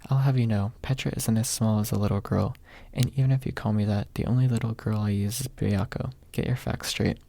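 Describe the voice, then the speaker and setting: Casual young storybook hero played by a male narrator, mildly scolding, mellow with some gravel. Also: on the low side, fairly even intonation, a little fast, few pauses. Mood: dry retort.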